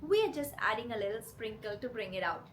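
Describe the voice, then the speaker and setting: A woman with a cold that leaves her voice hoarse and rough, hosting her channel and talking earnestly and directly to her viewers. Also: a little high, animated, a little fast, a bit bright, a little hiss or hum. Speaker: channel host. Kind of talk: confessional vlog talk to camera.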